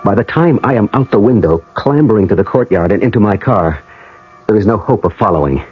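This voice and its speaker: A man acting in a 1980 radio drama as a brooding man on a pilgrimage, telling it in a measured, atmospheric first person. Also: on the low side, animated, breathy edge. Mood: brooding, suspenseful. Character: brooding American man on an ancestral pilgrimage, narrating. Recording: dull old radio broadcast with music.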